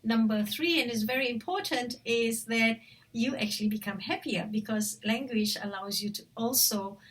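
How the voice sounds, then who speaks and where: A woman's voice: a little high, moderate pace, a bit bright, far from the mic, poor audio. A woman from Singapore with a Singaporean accent, coaching viewers on language learning in a chatty way, with frequent fillers and restarts. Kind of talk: casual talk to camera.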